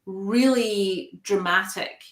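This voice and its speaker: Woman coach explaining things slowly and calmly, lots of analogies, roomy echoey sound. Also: mid-range voice. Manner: calm, measured explanations using analogies. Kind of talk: guest explaining in an interview. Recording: echoey room.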